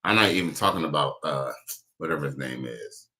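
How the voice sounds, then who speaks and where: A man with a low voice and a bright, forceful edge runs a loose commentary. He rambles, swears freely and keeps losing his train of thought mid-sentence. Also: lively intonation, a little fast. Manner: rambling, profane, searching for words. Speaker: commentary show host. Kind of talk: casual reaction commentary.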